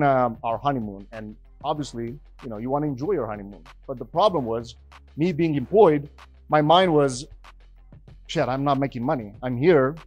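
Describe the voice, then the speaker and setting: A man, giving an energetic, conversational stage talk as a business speaker. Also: mid-range voice, no echo. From Romania. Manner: energetic, conversational, rising emphasis. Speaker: podcast host and business speaker. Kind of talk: stage presentation to a live audience.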